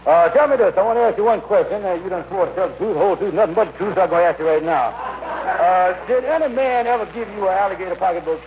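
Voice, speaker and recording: A man's voice: sits high, fast, lightly acted. An actor playing a self-important, conniving bluffer in an old-time radio comedy, repeating himself and mangling his grammar. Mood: flustered bluffing. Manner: blustering, repetitive, mangled grammar. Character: blustering, conniving male lodge schemer, self-important bluffer. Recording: muffled, hissy old radio recording.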